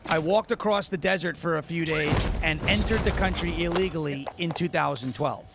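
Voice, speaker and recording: A man's voice: on the high side, moderate pace, creaky, noisy background. A man in his thirties from New York, casually and argumentatively discussing politics, often repeating himself. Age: 30s.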